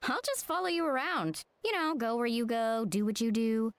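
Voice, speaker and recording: A woman's voice: fairly high pitch, moderate pace, lightly acted. A woman playing an easygoing, playful young companion in a video game, casual and breezy.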